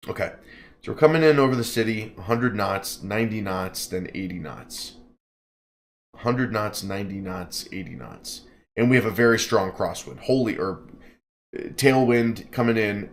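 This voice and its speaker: A man's voice: lowish voice, expressive, measured pace. Upbeat man streaming a flight sim. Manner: upbeat, jokey, stumbles over place names. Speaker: streamer playing a flight simulator. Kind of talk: casual live-stream commentary, reading game text aloud.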